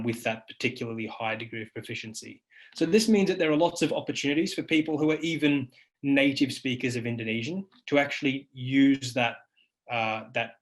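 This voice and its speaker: A man in his thirties, a university lecturer presenting a webinar over slides, earnest and halting with frequent ums and restarts, on a webcam. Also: mid-range voice, rough recording. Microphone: webcam video call.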